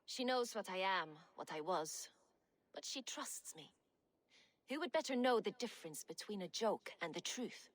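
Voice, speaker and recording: A woman's voice: mid-range voice, animated, normal speed, close to an everyday voice. A woman playing a sardonic ex-smuggler Viking. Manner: dry, sardonic, wistful. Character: wry former smuggler Viking woman, lovelorn. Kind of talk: game dialogue.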